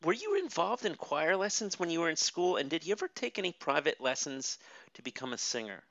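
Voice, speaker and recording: A bright interviewer with a lively, swooping delivery asks questions, sometimes stumbling over uhs. The recording is dull and lo-fi, with a dry sound. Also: medium pitch, dry recording. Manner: lively questions with some halting fillers.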